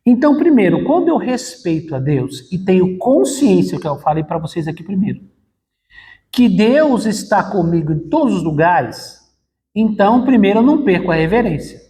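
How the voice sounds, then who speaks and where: A man's voice: high-pitched, sing-song, normal speed, warm tone. A pastor gives a sermon in a church. He speaks conversationally, leaning on fillers and rhetorical questions, and keeps turning to the congregation as brothers.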